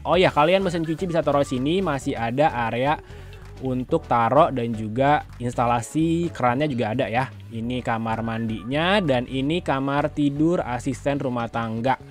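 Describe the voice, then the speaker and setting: A man hosts a property tour in an informal, chatty way, speaking straight to the viewer. His voice sits at an average male pitch. Music plays behind his narration. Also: moderate pace. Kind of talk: casual walkthrough narration of a house tour. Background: background music.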